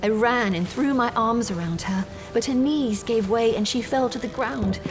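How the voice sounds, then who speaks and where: An actress playing a troubled young gentlewoman in an audio drama, telling her story in a measured, formal, earnest way. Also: a little high, lightly acted. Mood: anguished, dramatic.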